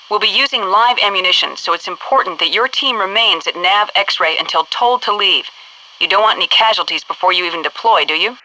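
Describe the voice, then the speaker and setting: A stern female military headquarters instructor for a video game. Her voice is very bright and forceful, with brisk, clipped commands delivered like a mission briefing. There is faint noise on the radio-like transmission. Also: on the low side, low-quality recording. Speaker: mission control voice giving tutorial orders.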